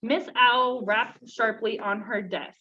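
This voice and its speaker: A high-pitched woman speaks as a children's storytime reader, expressive and directed at young listeners. The recording is muffled, with clear noise behind her. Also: takes it slowly. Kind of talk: read-aloud children's story, then casual chat with children. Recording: dull lo-fi sound, clear background noise.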